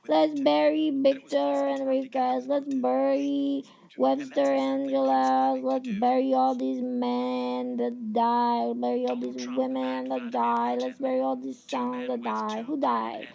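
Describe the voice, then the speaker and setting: A woman vlogging in the dark, rambling and swearing in repetitive chanted lines. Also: high voice, vocal fry. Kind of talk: profane rambling monologue over a playing documentary. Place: dark room.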